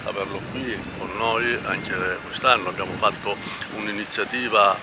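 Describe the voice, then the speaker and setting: A man giving an earnest, measured formal speech as a local community speaker. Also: lowish voice, fairly even intonation, very noisy.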